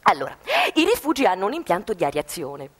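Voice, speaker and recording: A woman's voice: fairly low pitch, big pitch swings, fast. An actress playing a gleeful, zealous saleswoman with gushing, theatrical patter in a satirical radio play. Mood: persuasive. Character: gleeful, zealous saleswoman of armored panic rooms.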